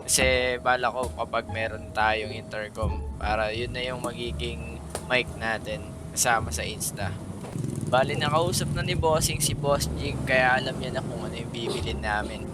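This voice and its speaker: A man's voice: mid-range voice, moderate pace. A Filipino man doing a casual, playful vlog voice-over, teasing and chatting straight to his viewers. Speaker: motovlogger narrating own trip. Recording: music behind the voice-over.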